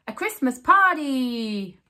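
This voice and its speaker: Woman playing a high, cheerful lady mouse. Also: sing-song, takes it slowly, bright, forceful tone, heavily acted. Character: cheerful, encouraging wife mouse.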